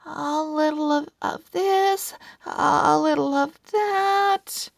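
A woman's voice: high-pitched, drawn-out words, vocal fry, no room sound, pitched above the performer's own voice, full character voice. A woman voicing an eager, put-upon little male pug from a children's storybook, earnest and hesitant. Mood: sleepy, busy.

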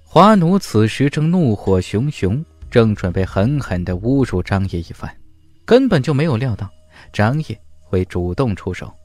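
A man's voice: on the low side, big pitch swings, moderate pace, darkish tone, no room sound. A man narrating a fantasy audiobook from a script in a dramatic, emphatic storytelling style.

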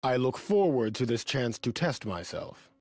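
An actor playing an earnest, dutiful young martial arts hero in a video game, polite and formal. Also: male voice, medium pitch, very animated, unhurried, very noisy. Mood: earnest, resolute. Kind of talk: video game cutscene acting.

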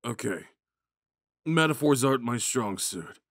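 Man acting a slow-talking drunk himbo jock. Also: mid-range voice, lively intonation, no echo, clean studio sound, lightly acted. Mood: protective, goofy. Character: drunk, dim, sweet young male college jock. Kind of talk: ASMR audio roleplay.

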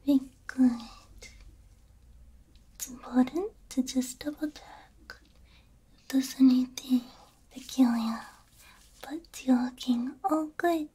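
Soft whispery woman doing asmr repair tech roleplay, super slow n mellow, breathy, right up on the mic. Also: high-pitched, steady tone. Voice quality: breathy, mostly unvoiced whisper. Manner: gentle, soothing, reassuring. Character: caring technician repairing a robot's ears. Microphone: binaural ASMR microphone, very close. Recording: close binaural microphone, faint noise.

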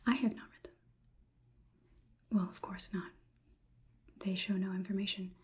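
A young woman playing a logic-minded robot overlord in an ASMR roleplay, whispering softly in a measured, detached way. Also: mid-range voice, little pitch movement, lightly acted. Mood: puzzled, clinical. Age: young adult. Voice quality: soft, breathy whisper. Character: clinical, logic-minded female robot overlord examining a human. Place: small indoor room, plain wall.

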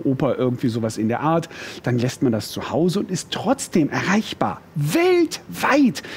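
A man's voice: a little high, a little mellow, slightly rough. A middle-aged man presenting a product on shopping TV, enthusiastic and chatty, with playful asides. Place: TV studio.

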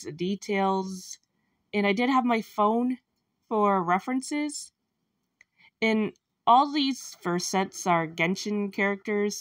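A woman's voice: mid-range voice, dry recording, studio quality. A young woman with a congested, stuffy nose, rambling casually as she narrates her sketching for her art channel. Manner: rambling, self-deprecating, soft laughs. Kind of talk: casual voice-over while sketching.

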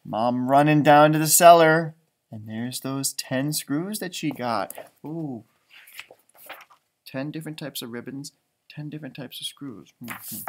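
A man's voice: medium pitch, a little slow. An American man in his thirties reading a children's storybook aloud expressively, with chatty asides. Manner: animated, commenting on pictures. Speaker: storybook reader for schoolchildren. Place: indoors, small library room.

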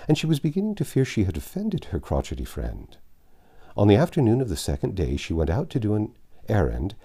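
Older man reading a storybook aloud. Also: slightly rough. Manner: lively, voices the characters' lines with raised pitch. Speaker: narrator reading a classic novel aloud. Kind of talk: storybook read-aloud narration. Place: home library with bookshelves and armchair. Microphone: lapel mic.

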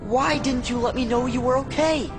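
A man's voice: extremely high pitch, normal speed. An actor playing an earnest, emotional teenage hero boy in a video game, speaking with heartfelt sincerity. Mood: tearful relief. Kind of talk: video game cutscene voice acting. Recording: game audio with long reverb, music.

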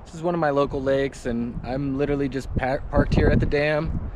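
A man in his thirties filming a casual fishing vlog outdoors by a lake, talking in a relaxed, upbeat, offhand way into an action camera. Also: on the high side, fairly quick, a little hiss or hum. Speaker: fishing vlogger. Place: outdoors by a lakeside dam.

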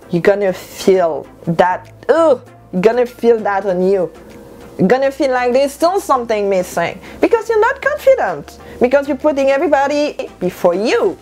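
A woman of about thirty whose first language is French, giving an animated motivational talk to camera in her bedroom and repeating lines for stress. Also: on the high side. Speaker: lifestyle vlogger. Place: bedroom at home, indoors.

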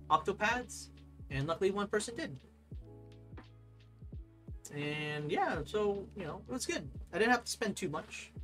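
Young male streamer, loose and chatty, a bit high-pitched, soft music bed. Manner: rambling, excitable, reads chat aloud, laughs often. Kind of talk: casual live-stream chat. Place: desk at home, overhead camera.

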